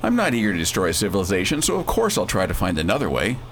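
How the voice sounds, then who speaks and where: A man's voice: low voice, wildly swooping pitch, fast talker, a little hiss or hum, full character voice. A man playing a breezy, decisive space adventurer who leads in slangy, commanding talk in a radio drama. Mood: firm.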